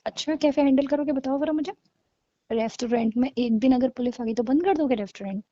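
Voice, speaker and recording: A woman's voice: sits high, brisk, dark tone, dry recording, highs cut off, lightly acted. A young woman playing a blunt, scornful girlfriend breaking up with her boyfriend in a naturalistic audio drama of a couple's call. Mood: challenging. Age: young adult. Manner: blunt, dismissive, argumentative.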